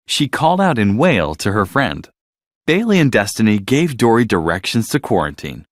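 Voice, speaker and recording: A man reading a children's bedtime story as narrator, in an expressive, scripted storytelling style. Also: medium pitch, no echo, studio quality.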